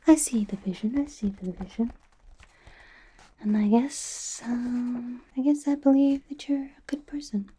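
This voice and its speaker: A woman's voice: on the high side, a little slow, mellow, breathy edge. A woman playing a quirky, playfully suspicious young superheroine in an ASMR roleplay, coaxing and questioning in an airy whisper. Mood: playfully skeptical. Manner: coaxing, playful mock-interrogation, repeats phrases. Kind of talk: ASMR roleplay, personal attention.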